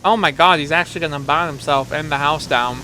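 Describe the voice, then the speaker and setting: A man's voice: fairly high pitch, brisk, low-quality recording. A man hosting a gaming video, giving chatty, exclamatory reactions to a horror game.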